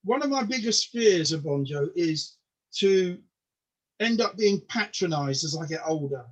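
A man's voice: high-pitched, expressive, unhurried. A man in his mid-sixties from the UK whose first language is Polish, chatting casually as an interview guest in a self-deprecating way, often stammering over repeated words. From United Kingdom. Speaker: podcast guest, comedian.

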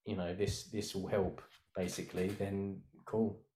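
A man in his thirties talks through ideas on a chat podcast, rambling and restarting as he thinks. His voice sits a little low. The sound is roomy and echoey. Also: little pitch movement, unhurried, dark tone, slight background noise. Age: 30s. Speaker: designer guest.

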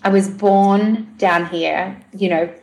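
A woman's voice: medium pitch, fairly even intonation, takes it slowly, a little mellow, rough recording. A middle-aged woman from New Zealand, chatting as an interview guest, laughing often, with lots of fillers and restarts. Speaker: podcast guest, TV producer and director. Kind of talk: casual interview chat.

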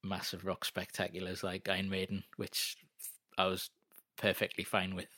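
Man, 40s, low bright voice, rambling self-deprecating guest. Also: monotone, moderate pace, dry recording. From North East England. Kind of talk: casual interview chat.

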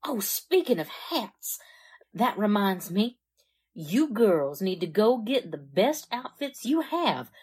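A woman's voice: mid-range voice, sing-song, slow pace, a little mellow, breathy edge, heavily acted. A woman acting a chatty, flustered, homey small-town mother in a 1940s-style radio drama. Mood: chatty excited. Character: fussy, starstruck small-town mother and hostess.

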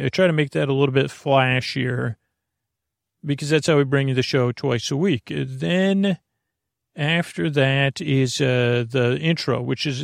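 A man with a creaky voice hosts a bedtime podcast, rambling in a halting, meandering, self-deprecating way full of tangents. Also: medium pitch, no room sound, studio quality. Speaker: podcast host.

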